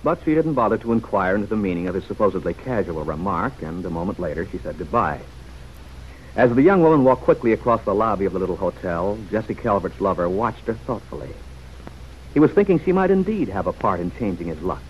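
A man's voice: lowish voice, sing-song, a little fast. A man announcing and narrating a 1940s radio serial in a polished, persuasive style that addresses the listener directly. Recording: dull, faintly noisy old radio recording.

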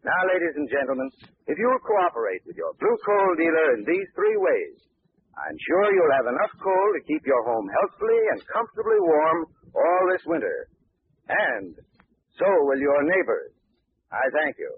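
A man's voice: on the high side, wildly swooping pitch, normal speed, breathy edge. A man reading a folksy, earnest sponsor message on an old radio broadcast. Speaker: sponsor spokesman. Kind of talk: scripted radio advert. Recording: tinny old radio broadcast, faint hiss.

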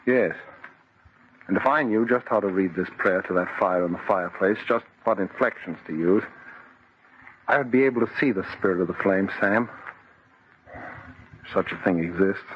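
An actor as low-voiced, obsessive young man, vintage radio. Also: normal speed, pauses often, close to an everyday voice. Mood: fervent. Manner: intense, rapt, insistent. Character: obsessive, dreamy young bridegroom fascinated by living fire. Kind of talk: old-time radio horror acting. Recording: dull, noisy vintage radio recording.

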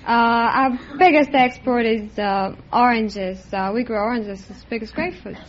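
A woman's voice: on the high side, moderate pace, slight background noise. A woman in her twenties from Israel, answering a quiz host's questions in a hesitant, earnest way. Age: 20s. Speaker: quiz show contestant, airline hostess. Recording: dull vintage radio recording.

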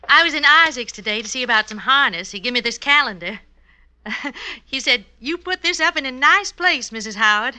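A devoted Old West wife in a radio drama. Her voice is high and very bright, rising and falling freely, with a sweet, folksy fondness. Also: animated, fairly quick, clear, resonant tone. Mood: fond, chatty. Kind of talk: classic radio western acting.

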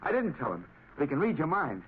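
An actor playing a frightened, weak-willed saboteur, nervous and stammering, in 1930s radio style. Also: male voice, a little high, huge pitch swings, fast talker, breathy edge, full character voice. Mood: pleading, fearful. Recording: noisy, narrow 1930s radio recording.

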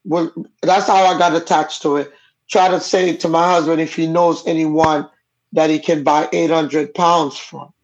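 A woman's voice: low voice, fairly even intonation, unhurried, a little mellow. Jamaican lady, patois first language, telling her story straight up on a muffled lo-fi line. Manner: frank, conversational storyteller. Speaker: guest. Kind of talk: personal storytelling in a casual interview. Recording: dull lo-fi audio.

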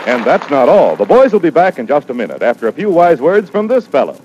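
A man's voice: a little high, big pitch swings, quick pace. A man announcing a 1940s radio show in a scripted style, on a dull old recording. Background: loud music.

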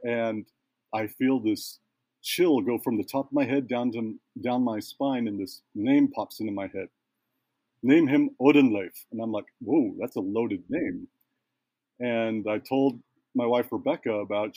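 A man's voice: mid-range voice, warm tone, no echo. Thoughtful man telling a long story. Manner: thoughtful, meandering, trails off and restarts. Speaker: guest, ritual tattoo practitioner. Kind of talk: storytelling in a conversation.

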